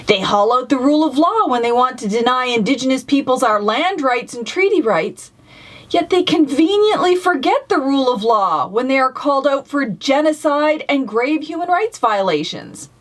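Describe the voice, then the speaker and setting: A woman with a Canadian accent and a distinctly high voice speaks as a political commentator to an online audience. Her delivery is prepared and deliberate, with emphatic stress and an indignant edge. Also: expressive.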